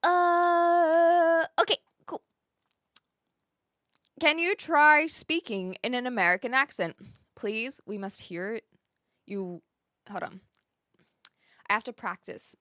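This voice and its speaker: A woman's voice: high voice, steady tone, takes it slowly, pauses often, a bit bright, no room sound, clean studio sound. A woman with a New Zealand accent, chatting casually on a livestream in a playful, rambling, teasing way as she answers viewers. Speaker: live streamer.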